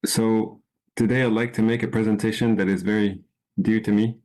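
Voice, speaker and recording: A man from France giving an informal talk to a small group, unhurried, with frequent ums and restarts. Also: on the low side, level tone, darkish tone, poor audio. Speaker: presenter. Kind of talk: informal presentation.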